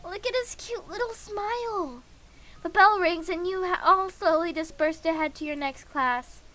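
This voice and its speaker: A woman livestreaming a game in a casual, excitable way, reading the text aloud and reacting with giggly gushing. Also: extremely high pitch, a little slow.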